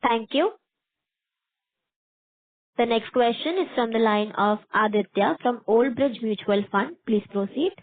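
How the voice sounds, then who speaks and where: A woman's voice: fairly high pitch, unhurried, long pauses, no room sound. A woman making formal, polite scripted announcements as a conference call operator. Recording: dry narrow phone line.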